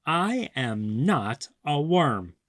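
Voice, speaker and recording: A man doing a calm, slow-spoken bunny rabbit. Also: on the high side, no echo, pitched above the performer's own voice, heavily acted. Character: matter-of-fact male bunny rabbit.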